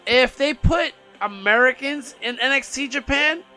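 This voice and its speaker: A man's voice: very high voice, sharp tone, faint music behind. A man co-hosting a casual wrestling podcast, speaking with confident emphasis. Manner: confident, emphatic, quick to laugh. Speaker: podcast co-host.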